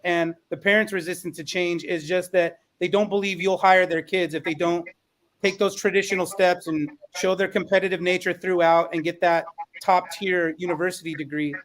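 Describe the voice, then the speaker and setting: A man's voice: high-pitched, steady tone, normal speed, fairly bright tone. A man speaking conversationally as a panelist, making his case in an articulate, persuasive way. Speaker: podcast panelist.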